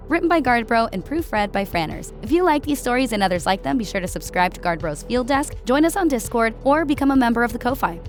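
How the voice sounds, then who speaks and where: A woman reading scripted narration as an audiobook narrator. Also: on the high side. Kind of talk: scripted narration of a sci-fi war story. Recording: music under the voice. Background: background music.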